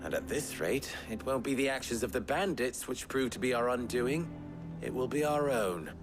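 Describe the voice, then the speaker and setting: An actor playing a wry, wordy, sardonic fixer from a desert town in a video game cutscene. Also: male voice, medium pitch, animated, normal speed, lightly acted. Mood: wry, measured. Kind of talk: dramatic game cutscene acting. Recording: music behind the voice. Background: clear background music.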